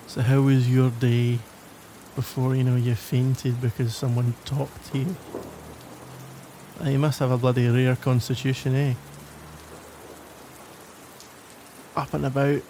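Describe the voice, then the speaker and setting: A man's voice: medium pitch, background noise. A man playing a grudgingly caring, sarcastic young Glaswegian in an exasperated, teasing ASMR roleplay monologue. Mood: grudgingly softening. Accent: Glaswegian Scottish.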